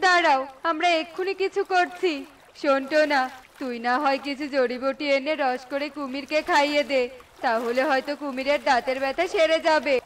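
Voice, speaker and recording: A woman's voice: high voice, moderate pace, slight background noise, lightly acted. A woman voicing a protective, worried parent bird in a children's cartoon, speaking in an earnest, dramatic way.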